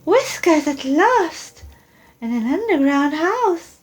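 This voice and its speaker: A woman's voice: high-pitched, sing-song, slow, voice pushed up, heavily acted. A woman voicing a bold, curious young female monster child in a storybook, exclaiming and asking singsong questions. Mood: eager, questioning.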